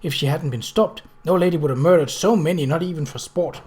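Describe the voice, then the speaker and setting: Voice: medium pitch, fairly quick, a little mellow, close to an everyday voice. A reader voicing a young servant girl who is firm, emphatic and sure of herself in a story.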